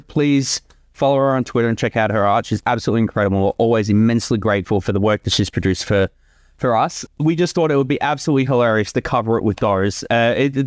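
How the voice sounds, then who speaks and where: A man talks through creator commentary in a loose, chatty way. His speech is informal and peppered with casual swearing, and the recording is dry, with a middling pitch. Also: dry recording. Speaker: co-creator giving commentary on own fan series.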